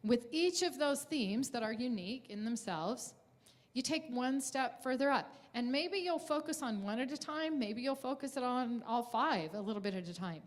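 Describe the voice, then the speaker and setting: A woman's voice: a little high, expressive, normal speed. Encouraging woman leading a workshop. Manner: encouraging, motivational. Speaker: workshop presenter. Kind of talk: conference workshop talk.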